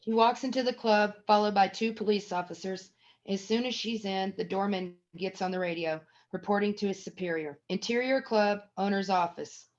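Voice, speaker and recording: A woman's voice: mid-range voice, normal speed. Stage-direction narrator for a table read: female, mid-range voice, scripted reading, dull lo-fi sound.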